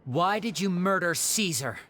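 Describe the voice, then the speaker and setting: A woman's voice: fairly low pitch, expressive, unhurried, fairly bright tone. A woman voicing a confident, showy young stage magician in a video game, poised and teasing with theatrical delivery. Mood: cool, probing. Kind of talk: dramatic video game voice acting.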